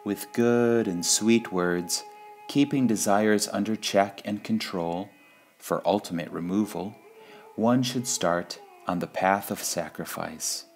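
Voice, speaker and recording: A man reading spiritual sayings in a measured, deliberate devotional style, pausing between phrases. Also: fairly low pitch, faint music behind. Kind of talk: slow devotional quote reading.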